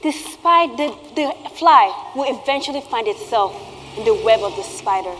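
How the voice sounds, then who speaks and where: A woman's voice: fairly high pitch, expressive, normal speed, bright, forceful tone. A woman playing a scheming, gloating villainess in a stage play, with a theatrical, cackling delivery. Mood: gloating, menacing. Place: theatre stage in a reverberant hall. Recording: echoey hall, faint background noise.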